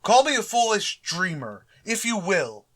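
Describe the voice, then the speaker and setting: A man acts out a pompous middle-aged principal from a radio sitcom. He speaks in florid, deliberate sentences loaded with sarcasm. The voice is bright and forceful. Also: sits high, big pitch swings, heavily acted. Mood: exasperated, restrained. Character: pompous, long-suffering middle-aged male high school principal. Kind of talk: old-time radio sitcom acting.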